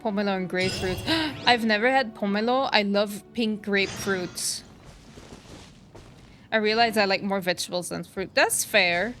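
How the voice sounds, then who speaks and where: A woman's voice: medium pitch, normal speed. A woman chatting casually as she streams a game, reacting to it and answering viewers in a chatty way. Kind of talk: casual live stream chat while playing. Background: soft game music.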